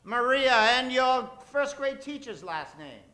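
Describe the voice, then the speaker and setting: A man's voice: very high voice, big pitch swings, normal speed, sharp tone. A man hosting a live comedy radio show from a theater stage, bantering with the audience and drawing out names in a theatrical announcer style.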